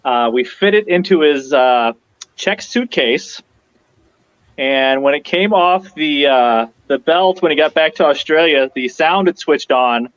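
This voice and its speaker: A man with a slightly raised pitch chats easily as a guest, telling stories about his prop work in a relaxed way. His voice comes over a remote video call, dry and a little dull. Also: measured pace, no echo. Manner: relaxed storytelling, laughing easily. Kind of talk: casual interview chat.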